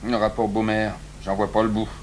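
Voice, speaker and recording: A man's voice: lowish voice, level tone, brisk, darkish tone, natural voice. An actor playing a busy, matter-of-fact male police officer, speaking in a clipped, businesslike way in a French radio drama. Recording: faint noise, old radio recording.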